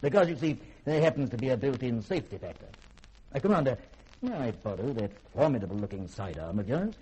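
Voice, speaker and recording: A man's voice: medium pitch, very animated, fast, dark tone, breathy edge, poor audio. A man in a 1950s radio drama playing an erudite, reclusive scholar who speaks in eloquent, formal, lecturing sentences. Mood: commanding, lecturing.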